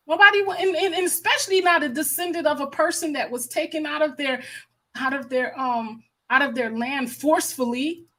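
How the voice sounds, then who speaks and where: A woman's voice: sits high, fairly quick, pushed and bright. An American woman hosting a livestream with casual, impassioned commentary, stressing points by repeating her phrases. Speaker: livestream host.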